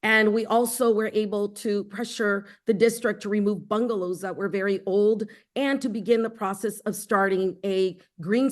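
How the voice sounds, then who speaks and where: Middle-aged woman, mid-pitched campaign speaker. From born in El Salvador, raised in Los Angeles. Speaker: school board candidate, teacher and union leader. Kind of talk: campaign introduction speech.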